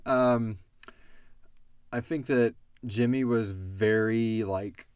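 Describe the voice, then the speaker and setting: A man talks in a fairly low voice, recorded dry and close. He hosts casually, answering questions and retelling scenes with animated delivery. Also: very slow, clean tone, dry recording. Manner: animated retelling, acting out characters' lines. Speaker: podcast host and audio drama creator.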